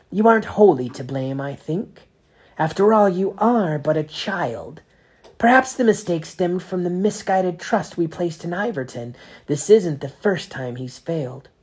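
A parent reading aloud voices a cold, sneering male grand inquisitor with a raised, forceful delivery. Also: mid-range voice, breathy edge, pitched above the performer's own voice. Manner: clipped, sarcastic, accusing.

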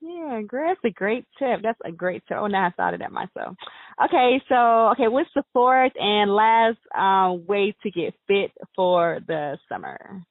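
Female American interviewer, mid-pitched, informal and agreeable, conversational radio hosting through a narrow, telephone-like line. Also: expressive, a little slow, no room sound. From United States. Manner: informal, agreeable, often says "like". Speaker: interview host. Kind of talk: casual chatty radio interview. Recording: tinny phone-like radio line.

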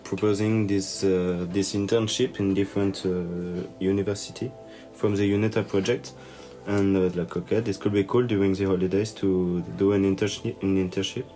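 A young man from France with a French accent, a biology student who speaks haltingly with frequent "uh"s. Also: low-pitched, little pitch movement, warm tone, soft background music. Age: young adult. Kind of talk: casual self-introduction.

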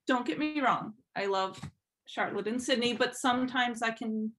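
A woman chatting casually as an interview guest, thinking aloud in an informal, halting way. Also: a little high, a bit bright. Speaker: podcast guest, fan fiction writer.

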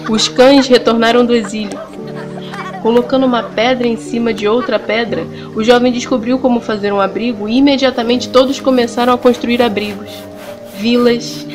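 Brazilian woman narrating dramatically over prominent music. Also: mid-range voice. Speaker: actress narrating an audio drama. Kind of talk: dramatic scripted story narration.

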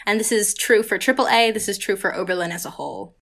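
A woman's voice: medium pitch, fast talker, fairly bright tone. Young female student co-host, loose chatty delivery full of fillers, mid-range voice. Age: young adult. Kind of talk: casual conversation.

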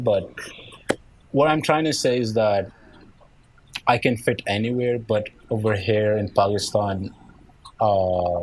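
A man's voice: medium pitch, poor audio. A young man with a light Nigerian accent, chatting as a guest and rambling with lots of "like" and "yeah". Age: young adult. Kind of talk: casual conversation.

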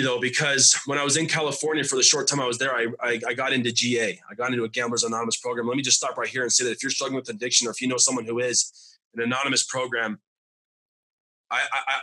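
A man in his thirties from Arizona, telling his recovery testimony as a podcast guest. He talks haltingly and often repeats and restarts his words. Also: medium pitch, pushed and bright, poor audio. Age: 30s.

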